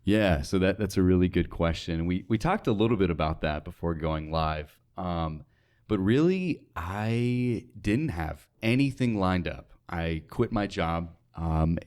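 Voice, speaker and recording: A man's voice: deep voice, lively intonation, measured pace, darkish tone. A young American man talking casually as a guest in an interview. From United States, North Dakota. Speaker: interview guest, radio sales associate.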